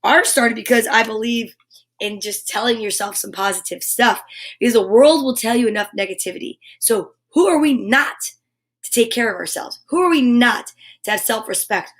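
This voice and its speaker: A woman's voice: on the high side, normal speed. A woman hosting a live children's story show, effusive and encouraging, chatting with playful asides and reading aloud.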